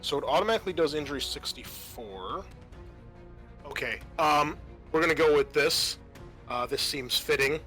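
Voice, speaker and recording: Bright-voiced man running a tabletop game. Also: on the high side, expressive, moderate pace. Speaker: game master. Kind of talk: narrating a tabletop horror roleplaying game. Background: music.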